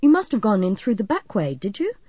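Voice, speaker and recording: A woman's voice: mid-range voice, big pitch swings, brisk, warm tone, husky, no room sound, highs cut off. A woman voicing a worried, polite young man in an audiobook, with a hesitant, earnest manner. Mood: anxious, surprised.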